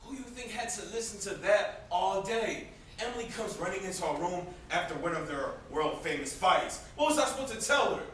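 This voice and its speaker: A man acts out a bitter young brother in an amateur stage drama, with a high voice and biting sarcasm. He sounds distant in a heavily reverberant room, with faint noise behind him. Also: lively intonation, brisk, fairly bright tone. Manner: sarcastic, confrontational. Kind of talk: amateur stage play acting. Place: indoor theatre stage. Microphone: distant camera microphone.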